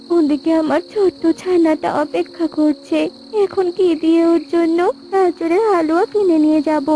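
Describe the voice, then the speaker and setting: A woman's voice: very high voice, dark tone, slight background noise. A woman voicing a poor, loving, worried mother bird in a cartoon, speaking earnestly and plaintively. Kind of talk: cartoon character voice.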